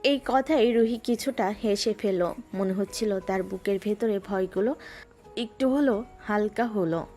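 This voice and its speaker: A woman's voice: medium pitch, measured pace, mellow. Female narrator telling a romance over music. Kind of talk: scripted storytelling narration. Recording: music underneath.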